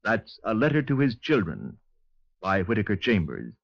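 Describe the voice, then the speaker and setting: A man reading scripted 1950s radio announcements in a formal, measured way. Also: fairly low pitch, wildly swooping pitch, gravelly, no echo. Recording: dull, dry 1950s radio recording.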